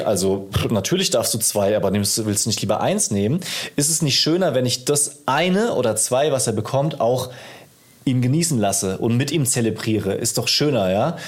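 Man chatting casually, explaining things. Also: mid-range voice. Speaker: podcast host. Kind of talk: casual chat between two dads.

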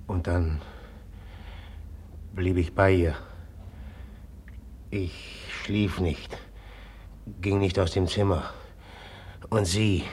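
Bitter jealous suitor in a radio drama, slow and husky, brooding then taunting. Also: male voice, sits low, exaggerated voice. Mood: brooding, haunted. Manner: halting and brooding, breaking into taunting outbursts. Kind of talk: German radio play acting.

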